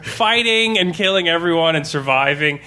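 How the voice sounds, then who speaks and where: Male game master, podcast chat. Bright, forceful tone, informal and sweary, loose explanatory delivery. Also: a little high, lively intonation, moderate pace. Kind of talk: casual post-game discussion.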